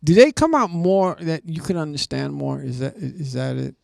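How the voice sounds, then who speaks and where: A man's voice: fairly high pitch, moderate pace, gravelly, a little mellow, dry recording, studio quality. A man hosting a cable access variety show, chatting casually with a guest and cracking boastful jokes. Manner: joking, boastful banter. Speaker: host. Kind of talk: casual chat. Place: studio.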